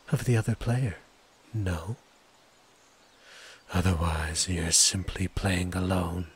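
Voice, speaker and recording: A man voicing a proud, needy, menacing ancient forest entity in an ASMR roleplay, breathy and husky, with theatrical, formal diction. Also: low voice, heavily acted. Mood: haughty, curious. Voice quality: breathy, husky, often dropping toward a whisper.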